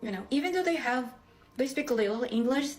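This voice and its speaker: A woman's voice: sits high, animated, a little fast, a little mellow, soft background music. A Filipino woman with a Filipino accent, English not her first language, arguing with exasperated rhetorical questions. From Philippines. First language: a Philippine language. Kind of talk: ranting video reply.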